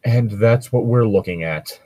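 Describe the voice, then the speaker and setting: Man, lowish husky voice, casual podcast banter, wry delivery, close dry sound. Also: a little slow, dark tone, dry recording. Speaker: podcast co-host. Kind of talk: casual banter and mock fanfiction reading.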